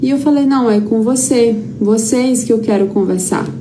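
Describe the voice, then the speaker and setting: A woman's voice: on the high side, normal speed, mellow. A woman with a Brazilian accent giving an informal, anecdote-filled lecture as a therapy teacher, repeating phrases for emphasis. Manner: conversational, emphatic repetition, acts out quoted dialogue. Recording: clearly noisy background.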